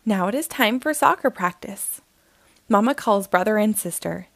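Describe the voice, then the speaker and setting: A woman hosting a storytime, reading a children's picture book aloud slowly and expressively. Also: medium pitch, gravelly, no echo. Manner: slow, expressive, sing-song reading.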